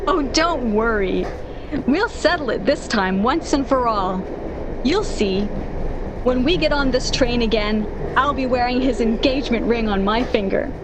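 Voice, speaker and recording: An actress performs a young woman hopelessly in love, in the style of a 1940s radio play. Her voice sits fairly high, with a dreamy, insistent and dramatic delivery. The recording is clearly noisy. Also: lively intonation, a little slow. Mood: dreamy, confident. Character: lovestruck, stubbornly hopeful young single woman, brittle, anxious.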